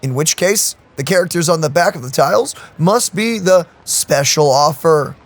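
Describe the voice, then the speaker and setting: A woman giving casual, expressive let's play commentary, exclaiming and reading game text aloud. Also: low voice, normal speed. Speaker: gaming video co-host. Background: game music.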